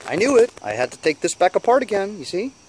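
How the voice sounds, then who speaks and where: A man's voice: high-pitched, very animated, quick pace, darkish tone, a little hiss or hum, rough recording. A man talking casually through a hands-on how-to in a blunt, colloquial, grumbling way. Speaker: DIY how-to video maker. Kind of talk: casual hands-on tutorial chat. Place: in and around a cargo van.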